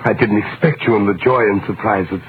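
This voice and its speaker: A man performs a gentle old father in a vintage radio play, frail and loving. His low voice comes through a tinny recording with clear background noise. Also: steady tone, fairly quick, close to an everyday voice. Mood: overcome. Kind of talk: 1930s radio drama acting.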